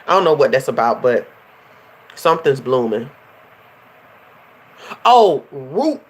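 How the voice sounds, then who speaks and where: A young woman, a life coach hosting a casual livestream and chatting directly to her viewers. She sounds stuffy and nasal from a runny nose, and a little hoarse. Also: extremely low pitch, very animated, slow pace. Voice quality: slightly hoarse.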